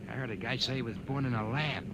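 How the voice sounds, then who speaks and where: An actor playing a slangy, needling, conspiratorial enlisted soldier in 1950s radio drama style. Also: male voice, medium pitch, fast talker, noisy background, close to an everyday voice. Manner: streetwise, conspiratorial. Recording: old radio recording, background chatter.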